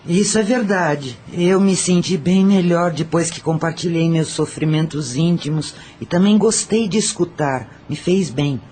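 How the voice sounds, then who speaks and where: A man's voice: fairly high pitch, a little slow, hardly any pauses, a little mellow, a little hiss or hum, natural voice. A man playing an earnest, friendly fellow spirit in an audio drama, speaking in a confiding way.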